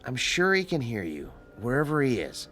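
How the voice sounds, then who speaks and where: A composed, commanding doctor in a radio drama. He directs in measured phrases, with animated pitch and faint music behind. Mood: calm, commanding. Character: calm, authoritative male psychiatrist. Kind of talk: old-time radio drama acting.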